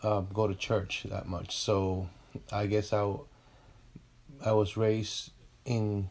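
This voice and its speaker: A man in his thirties from Puerto Rico, a podcast guest, soft-spoken and halting. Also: lowish voice, little pitch movement, vocal fry. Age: 30s. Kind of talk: casual interview.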